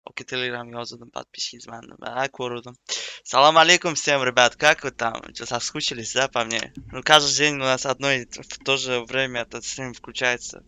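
A young man in his twenties streams a game with casual, sweary chatter. His voice is sharp and very bright, with a crisp, clean tone at an ordinary male pitch. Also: steady tone, fairly quick, dry recording. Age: 20s. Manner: slangy, swearing. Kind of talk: casual live-stream gaming chat.

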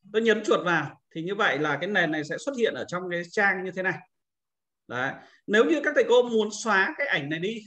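A man's voice: high voice, a bit bright. A man narrating an informal step-by-step screen-share tutorial as an instructor, explaining and often adding short confirming tags.

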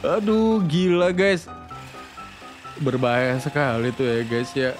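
A gaming streamer chattering playfully and excitably in a live roleplay game, often repeating phrases. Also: mid-range voice, slow. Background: music.

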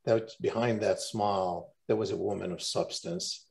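An older man speaks as forum host on a video call. His voice is fairly low with plenty of creak, and he offers measured, off-the-cuff words of tribute. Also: fairly even intonation, darkish tone. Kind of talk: unscripted tribute remarks. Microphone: video call microphone.

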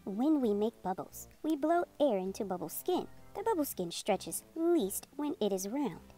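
A woman's voice: on the high side, sing-song, unhurried, dark tone, faint music behind. A woman voicing a knowledgeable adult woman neighbour who explains things emphatically in a children's storybook. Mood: explaining.

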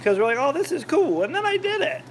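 A man's voice: very high voice, big pitch swings, fast, a little hiss or hum. A man rambling and joking with his live chat while cooking in his home kitchen. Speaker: cooking vlogger. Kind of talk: casual cooking livestream chat.

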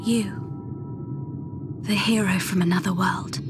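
A woman playing a timid, gentle teenage girl in a video game, hesitant and breathy. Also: medium pitch, fairly even intonation, takes it slowly, natural voice. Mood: hesitant, wondering. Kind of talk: dramatic video game voice acting. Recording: music behind the voice.

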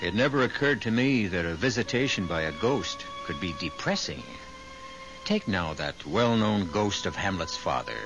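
A man narrating and hosting a 1970s radio drama in a scripted, theatrical, deliberate way. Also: sits low. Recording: muffled old radio broadcast. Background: music.

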